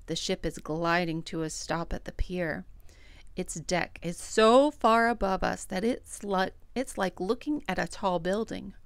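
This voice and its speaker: Lady reading as a worried little boy in a storybook, slow and emotional, creaky edges, full of wonder. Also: fairly low pitch, dry recording. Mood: wondering, uneasy. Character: small anxious boy longing for his sailor daddy. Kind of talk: dramatic children's storybook narration in first person.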